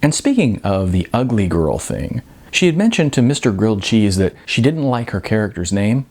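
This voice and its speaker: A man reading his own novel as an audiobook narrator, in an expressive, conversational way with some hesitations. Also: fairly low pitch, moderate pace.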